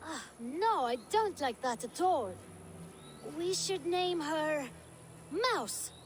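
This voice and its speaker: A woman's voice: sits high, animated, slow pace, a little hiss or hum. A woman playing a timid, earnest little boy in a video game, hesitant and sincere. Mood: sheepish, earnest. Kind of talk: video game cutscene acting.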